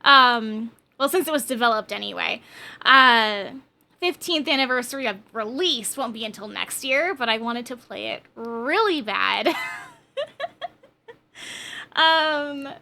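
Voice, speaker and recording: A woman's voice: a little high, animated, a little slow, vocal fry, sharp tone. A woman in her twenties chatting casually with viewers on a game livestream, with a chatty, bubbly, giggly manner. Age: 20s. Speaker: game streamer.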